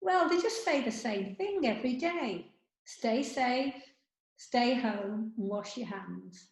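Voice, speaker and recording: A woman's voice: a little high, lively intonation, slow, vocal fry, full character voice. A woman playing a chatty, matter-of-fact older friend in an amateur comedy sketch. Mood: glum, resigned. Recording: echoey room.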